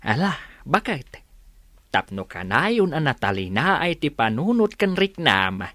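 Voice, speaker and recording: An actor with an Ilocano accent playing an affectionate, easily amazed older farmer husband in a radio comedy drama. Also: male voice, fairly high pitch, very animated, a little slow, pushed and bright, dry recording, heavily acted. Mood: fond, pleased.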